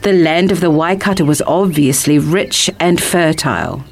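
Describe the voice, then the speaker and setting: Woman reading a storybook, lower-pitched voice. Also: normal speed. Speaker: reader of a picture book. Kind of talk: scripted storybook narration.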